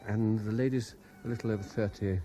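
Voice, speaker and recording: An actor in a radio drama playing a haunted, obsessive French man, anxious and intense, with a hesitant, halting delivery. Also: fairly low pitch, steady tone, over music, close to an everyday voice. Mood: nervous, probing. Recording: 1970s radio drama broadcast.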